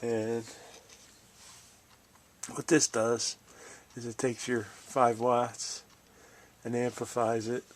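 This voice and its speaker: A man's voice: mid-range voice, flat delivery, slow pace, pauses often. A middle-aged or older American man giving a casual, folksy hands-on show-and-tell, musing as he goes. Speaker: DIY video host.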